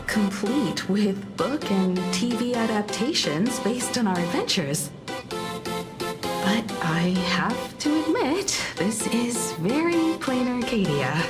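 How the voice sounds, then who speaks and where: A refined, composed woman in a video game, wry and caring. She takes her time, with expressive rises and falls in pitch. Loud music plays behind her. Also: takes it slowly. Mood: amused reflection.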